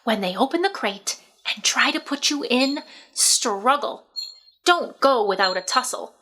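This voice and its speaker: A shrewd old sheep from a storybook, acted out by a woman reader. The voice is bright and forceful, handing down clipped orders like a bossy elder. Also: fairly high pitch, expressive, a little slow, exaggerated voice. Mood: urgent, conspiratorial. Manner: commanding, clipped instructions in short phrases.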